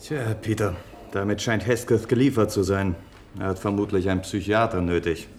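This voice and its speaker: A man's voice: on the low side, steady tone, normal speed, a little mellow, soft background music, natural voice. An actor playing a sardonic male civil servant in a German radio play, dry and mocking.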